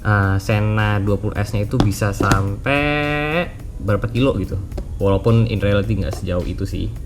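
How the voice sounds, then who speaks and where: A man's voice: on the low side, normal speed. Casual Indonesian male vlogger, music behind. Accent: Indonesian, Jakarta colloquial. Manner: informal, mixes English words into slang-filled speech. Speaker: motovlogger presenting a product review. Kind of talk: casual product review to camera.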